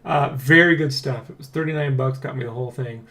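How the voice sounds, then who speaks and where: A man hosting a development blog in casual, excitable, rambling chat, jokily boastful and swearing freely. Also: mid-range voice, quick pace. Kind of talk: casual vlog chat, addressing viewers.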